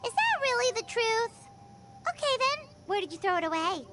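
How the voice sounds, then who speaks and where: A tiny girlish companion who pries with nosy questions. The voice is very high and bouncy, and loud game music plays behind it in this video game scene. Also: big pitch swings, moderate pace. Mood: questioning.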